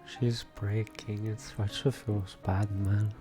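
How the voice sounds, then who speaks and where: A male streamer casually reacting to a game cutscene with short, low-key, sympathetic comments. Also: little pitch movement, slow. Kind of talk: casual reactions over gameplay. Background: game music.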